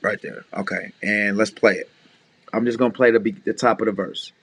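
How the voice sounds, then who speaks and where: Deep voiced producer guy, easygoing tutorial talk, kinda monotone. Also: brisk, vocal fry, slightly rough, no echo. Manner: laid-back, fills with "you know what I'm saying". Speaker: music producer teaching mixing. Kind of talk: casual screen-share tutorial.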